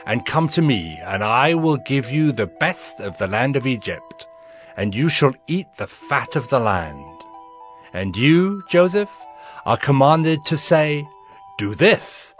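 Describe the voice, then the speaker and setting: Pharaoh, a man voicing a slow commanding king. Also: mid-range voice. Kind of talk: dramatized scripture reading.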